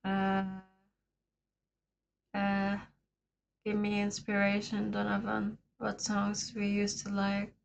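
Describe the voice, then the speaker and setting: Brief: hoarse, raspy woman, unhurried casual streamer chat, easygoing with frequent laughs. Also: mid-range voice, monotone, slight background noise, low-quality recording. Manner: laid-back, laughs often. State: hoarse today. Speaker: livestream host.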